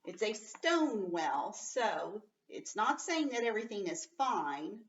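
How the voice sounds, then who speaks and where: A woman teaching young children, reading aloud expressively and explaining simply and patiently, slowing right down to stress words. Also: mid-range voice, far from the mic, highs cut off. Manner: expressive, emphatic, slows right down on story words.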